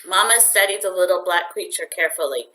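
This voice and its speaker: A woman reading a children's story aloud like a teacher, with expressive, deliberate narration. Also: fairly low pitch, rough recording.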